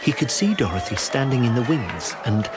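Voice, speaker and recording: A man's voice: medium pitch, sing-song, moderate pace, a loud crowd, lightly acted. A man playing an earnest, reverent fan who helps tell the story in a radio play. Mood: suspenseful. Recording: music behind the voice.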